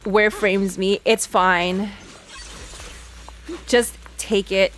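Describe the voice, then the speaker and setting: A woman's voice: medium pitch, steady tone, extremely slow, fairly bright tone, a little hiss or hum. A woman streamer giving casual, chatty, playful reactions to a game, often repeating short phrases. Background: game music and voices.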